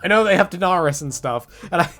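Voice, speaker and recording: High-pitched, animated man on a jokey rant. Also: fast talker, faint music behind. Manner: jokey, rambling, slangy, acts out imagined quotes. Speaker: video creator, game commentator. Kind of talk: casual opinionated rant.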